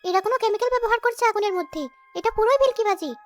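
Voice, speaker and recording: A woman's voice: very high voice, very fast, faint music behind. A woman playing a brave, caring young village girl in a cartoon story, earnest and eager in delivery. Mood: alert eager. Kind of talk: animated cartoon story acting.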